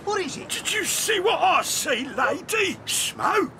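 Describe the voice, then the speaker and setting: An actor playing a blunt, excitable villager. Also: male voice, very high-pitched, unhurried, heavily acted. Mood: excited. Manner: shouty, blunt exclamations.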